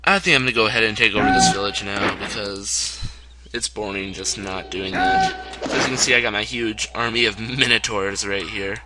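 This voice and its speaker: A young man with a somewhat low, hoarse voice talks his way through a strategy game in a loose, rambling manner, chuckling as he goes. He records at home, and a clear hiss of background noise sits under his voice throughout. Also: expressive, normal speed. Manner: chatty, rambling, chuckles often. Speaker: let's play gamer. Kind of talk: casual gameplay commentary.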